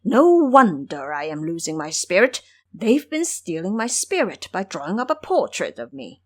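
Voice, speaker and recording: Casting: female performer as a male pig monster monk, foolish and full of bluster, lively swings in pitch, audio drama fantasy. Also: moderate pace. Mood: nervous, dismayed. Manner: boastful, pleading when scared.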